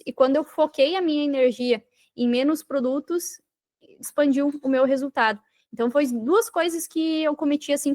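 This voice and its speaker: Brazilian woman, high voice, emphatic podcast guest who explains like a mentor. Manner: emphatic, repeats phrases for stress. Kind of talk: conversational interview, explaining and advising.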